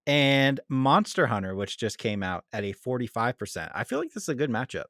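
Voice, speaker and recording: A man's voice: mid-range voice, sing-song, a little fast, fairly bright tone, dry recording, clean studio sound. A man hosting a podcast, chatting casually and informally as he debates. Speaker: podcast host.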